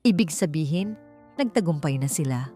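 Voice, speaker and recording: A weary, frightened husband in an audiobook drama, acted by a woman. He speaks in a hushed, tender way, as if leaving a last message, with music underneath. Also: low-pitched, animated, normal speed. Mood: weary, grave.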